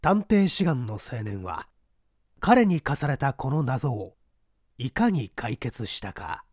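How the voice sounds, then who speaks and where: A man narrating a mystery story aloud in a theatrical, expressive reading style. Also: medium pitch, slow pace, breathy edge, no room sound, studio quality. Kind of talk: scripted audiobook narration.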